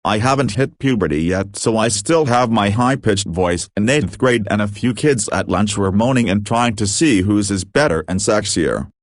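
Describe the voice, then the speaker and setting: A man narrating online posts aloud in a steady read-aloud manner, speaking each post in the first person. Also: fairly low pitch, moderate pace, hardly any pauses.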